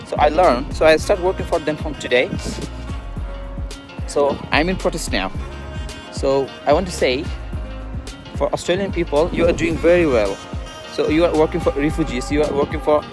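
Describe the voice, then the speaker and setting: Halting Bangladeshi man, slightly high voice. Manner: halting, earnest, repetitive phrasing. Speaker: vlogger and refugee activist. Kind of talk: live street vlog, informal. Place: outdoors at a busy roadside protest.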